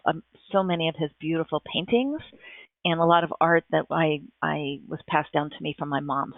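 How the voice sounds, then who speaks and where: Woman with a gravelly voice on a phone line. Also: low-pitched, dry recording. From United States. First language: Japanese. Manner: enthusiastic, thoughtful, frequent restarts. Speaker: podcast guest. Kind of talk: casual interview answers.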